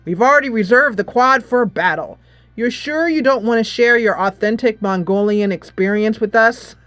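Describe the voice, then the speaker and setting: A woman's voice: fairly high pitch, moderate pace, a bit bright, no room sound, lightly acted. Woman doing a theatrical, boastful schoolboy reenactor. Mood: grand, pompous. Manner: declamatory, theatrical.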